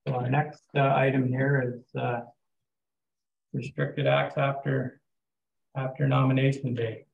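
Brief: canadian mayor, gravelly and flat-toned, chairing a council meeting, roomy call audio. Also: male voice, medium pitch, measured pace, highs cut off, a little hiss or hum. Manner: plain, steady, moves motions along.

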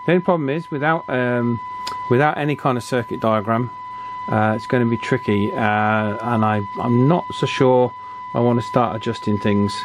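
A man with a mid-pitched voice talks informally through a hands-on job at an indoor workbench. He is hesitant, working out his thoughts aloud, with some noise in the background. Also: normal speed. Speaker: radio repair hobbyist presenting. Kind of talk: informal hands-on bench demonstration.

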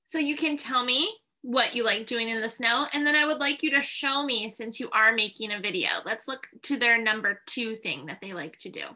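A woman in her thirties teaching a video lesson to young students, speaking in simple, deliberate phrases into a computer microphone indoors. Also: fairly high pitch, pushed and bright. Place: small indoor classroom or home teaching room. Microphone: computer webcam at desk distance.

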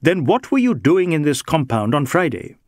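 A man voicing an insistent, snappish Indian police inspector in an audiobook, firing out brisk, demanding questions. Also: fairly high pitch, sing-song, a little mellow, no echo, studio quality, voice pushed up. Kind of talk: audiobook character dialogue.

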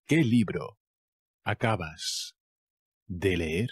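Slow, dry-voiced Spanish teacher from Spain. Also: male voice, sits low, breathy edge, no room sound. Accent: Castilian Spanish. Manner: says each phrase slowly and repeats it with variants. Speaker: language teacher. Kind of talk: language lesson.

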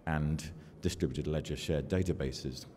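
An older man from the United Kingdom giving a conference keynote at a podium in a large hall, measured and self-deprecating, with fillers between thoughts. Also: rumbling low, a little mellow. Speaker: keynote speaker. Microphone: podium microphone. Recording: long hall reverb, faint room noise.

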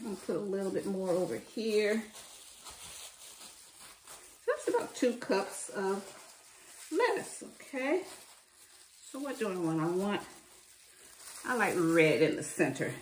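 A woman with a mid-pitched voice talks slowly and chattily to her viewers while she preps meals. She sounds set back from the mic in an echoey home kitchen, with a little kitchen clatter behind her. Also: sing-song, distant mic, a little hiss or hum. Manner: homey and chatty, talking to viewers as she works. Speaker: cooking channel host. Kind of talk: casual meal-prep chat while cooking.

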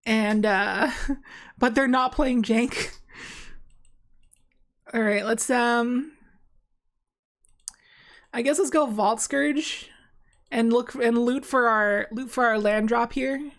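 A woman's voice: a little high, fairly even intonation, slow pace, pauses often. A young woman talking casually while playing a card game on stream, rambling with many fillers and restarts, in a small dim room. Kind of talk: casual gameplay commentary.

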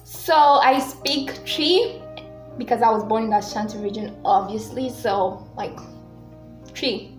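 A woman's voice: a little high, a little slow, roomy sound, music in the background. A young woman from Ghana with a Ghanaian accent, chatting casually to camera in a vlog, loose, rambling and giggly. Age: young adult. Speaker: vlogger. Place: small room indoors.

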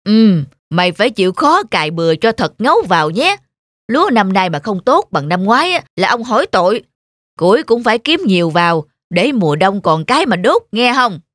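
A man's voice: high-pitched, big pitch swings, moderate pace, fairly bright tone, dry recording, studio quality. A man playing a greedy, bullying middle-aged village master in a cartoon folk tale, with a scolding, scheming manner. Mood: bossy. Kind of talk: animated folk tale acting. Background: music.

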